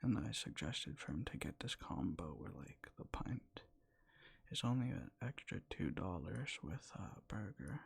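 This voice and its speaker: A young man whispering in a soft, rambling way close to the mic, sleepy and sometimes losing his words, for boyfriend roleplay ASMR. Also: sits low, creaky, husky. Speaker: ASMR creator.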